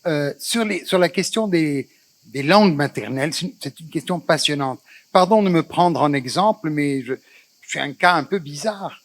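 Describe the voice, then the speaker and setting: A man born in Morocco, with Spanish as his first language, moderates a festival panel in a measured, reflective way with frequent pauses. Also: on the high side.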